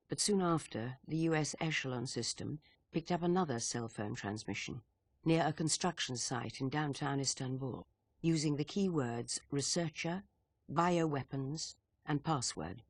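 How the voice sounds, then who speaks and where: Actor as a husky, formal spy chief. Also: male voice, mid-range voice, no echo, studio quality, natural voice. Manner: formal, measured, authoritative. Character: senior British intelligence chief briefing his agent, formal, grave. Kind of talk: scripted video game cutscene acting.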